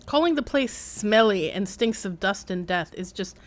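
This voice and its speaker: A woman's voice: mid-range voice, animated, normal speed. A woman streamer giving casual live game commentary in a wry, rambling, chatty way.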